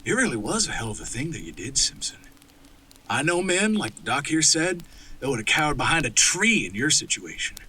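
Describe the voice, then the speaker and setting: A man playing a hearty backwoods man in a horror audio drama, with folksy rural phrasing and a storyteller's pauses. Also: fairly high pitch, very animated, sharp tone, a little hiss or hum. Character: gruff, hearty backwoods man retelling wilderness legends.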